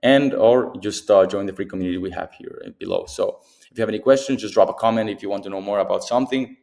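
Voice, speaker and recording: Fast-talking Mexican-accented young man. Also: fairly low pitch, creaky, darkish tone. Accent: Mexican Spanish. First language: Spanish. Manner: rambling, filler-heavy, repeats himself. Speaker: freelancing coach. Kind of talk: casual tutorial talk to camera. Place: abroad in Bali.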